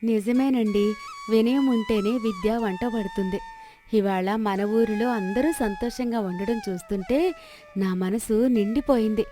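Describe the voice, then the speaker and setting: A woman's voice: medium pitch, warm tone, natural voice. A woman voicing a humble, pious village wife and cook, modest and respectful, in a moral story. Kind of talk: Telugu moral story dubbing.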